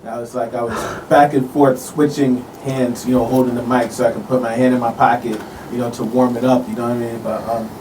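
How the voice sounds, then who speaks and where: An American man in relaxed, casual conversation as a guest. His mid-pitched voice sits a little away from the microphone, with faint room noise around it, and he speaks in a loose, laid-back way full of "you know". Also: a little fast, few pauses, distant mic. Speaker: guest, local performer. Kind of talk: casual chat. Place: radio studio.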